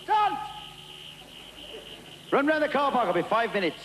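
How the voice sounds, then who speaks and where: A man doing a yelling Lone Ranger. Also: big pitch swings, measured pace, roomy sound, big-room sound, slight background noise, exaggerated voice. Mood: bossy. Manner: high shouted commands. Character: Lone Ranger, a masked cowboy hero yelling orders.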